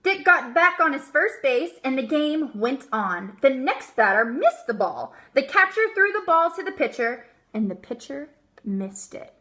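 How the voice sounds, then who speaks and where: A woman giving an expressive, emphatic read-aloud of a children's storybook. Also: sits high, unhurried. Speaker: storybook reader.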